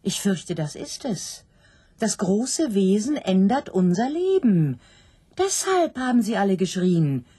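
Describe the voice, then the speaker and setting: A doubting, fearful sow in a radio drama. She broods slowly over her fate, and her dramatic speech swings widely in pitch. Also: sing-song, warm tone, husky, heavily acted. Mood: anxious, doubting. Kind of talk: literary radio drama acting.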